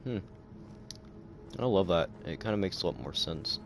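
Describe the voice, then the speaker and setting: A tired man with a deep voice and a dry throat, rambling in casual livestream chat full of fillers. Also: expressive, moderate pace, background noise.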